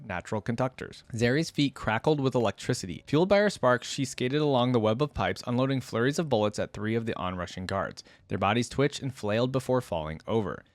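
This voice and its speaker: A man hosts a reaction, reading a story out loud in an emphatic way. His voice sits at an average pitch and he slips into casual commentary. The sound is dry, with hardly any room to it. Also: no echo. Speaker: host of a reaction channel. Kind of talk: reaction commentary and animated story read-aloud.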